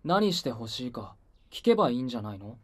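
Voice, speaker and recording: A man's voice: medium pitch, big pitch swings, quick pace, no echo. A man playing a sleepy, aloof, matter-of-fact teenage boy idol in an anime-style voice drama, languid and unbothered. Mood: sleepy, indifferent.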